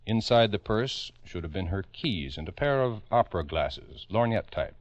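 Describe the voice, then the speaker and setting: A man with an American accent narrating a 1950s radio true-crime drama as host, in a grave, emphatic, deliberate way. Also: on the low side, lively intonation, no room sound. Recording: dull old radio recording.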